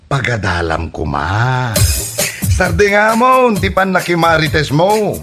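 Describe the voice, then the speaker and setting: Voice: mid-range voice, big pitch swings, slow, over music. A performer with an Ilocano accent playing a nagging, scornful scolder in a comedy radio drama, taunting and exclaiming. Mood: mocking, annoyed.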